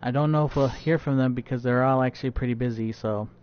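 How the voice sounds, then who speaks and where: A man's voice: medium pitch, steady tone, fast, smooth. A man live streaming while he plays a game, talking casually and stuttering often with many fillers and restarts. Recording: dull lo-fi stream audio.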